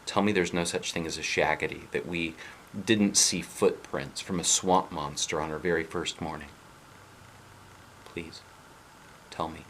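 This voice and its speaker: A man reading aloud, voicing a frightened boy who pleads in a quiet, shaky tone. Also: sits low, steady tone, unhurried, long pauses, slight background noise, rough recording. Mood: pleading.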